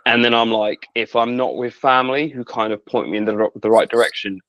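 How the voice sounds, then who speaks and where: A man's voice: medium pitch, fairly even intonation, fairly quick, fairly bright tone, dry recording, lo-fi sound. A man asking questions in a hesitant casual chat over a video call, full of fillers.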